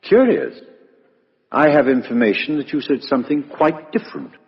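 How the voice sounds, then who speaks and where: An actor playing a genial, probing British chief inspector in a 1950s radio drama, colloquial and businesslike. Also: male voice, on the high side, big pitch swings, moderate pace. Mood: politely probing. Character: brisk, genial but probing male police chief inspector. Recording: muffled, reverberant vintage radio recording.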